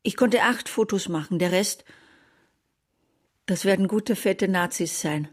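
A bitter woman who has survived the war played by an actress in a radio drama. She speaks at a middle pitch, sardonic and declarative, in literary phrases. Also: a little slow, natural voice.

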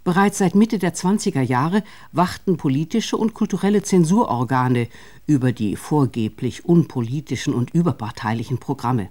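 Mellow male documentary narrator. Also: on the high side. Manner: measured, formal delivery. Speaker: narrator of a radio feature.

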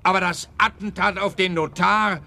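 Bright, sharp-voiced self-righteous policeman. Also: sits high, steady tone, normal speed, heavily acted. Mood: matter-of-fact, smug. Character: exasperated, self-righteous policeman, sparring with a detective. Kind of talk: radio play acting.

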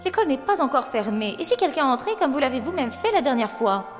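Woman acting high-voiced, coquettish young opera apprentice. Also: very animated, quick pace. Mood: teasing, poised. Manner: coquettish, commanding, formal period speech. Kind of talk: French audio drama acting. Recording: long reverb, music behind.

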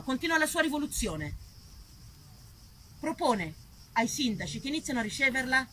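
A woman performing a live theatrical monologue, expressive and dramatic, speaking straight to her listeners as a storyteller. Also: high-pitched, a little hiss or hum, rough recording. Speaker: stage storyteller, actress. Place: live performance before a small audience.

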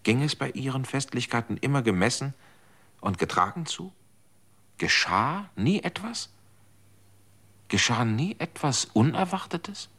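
A man's voice: mid-range voice, expressive, measured pace, pauses often, fairly bright tone, husky, heavily acted. An actor in a radio drama playing a guilt-ridden man who pleads and accuses. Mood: anguished, accusing.

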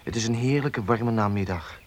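An actor playing an insistent, amorous young man courting with flattering words in a radio drama. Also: fairly low pitch, normal speed, lightly acted. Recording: noisy old radio play recording.

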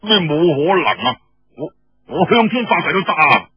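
A man's voice: a little high, expressive, normal speed, slightly rough, no echo, dull audio, faint music behind, voice pushed up. A man playing a blunt, hot-headed and simple young soldier who is stubbornly loyal, in an audiobook story. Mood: earnest, insistent.

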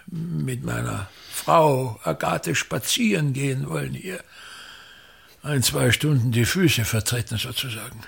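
A man's voice: medium pitch, vocal fry, fairly bright tone, slight background noise. An actor playing a pompous, contemptuous old male theater director in a radio drama, with an Austrian accent and a declamatory, scornful way of talking.

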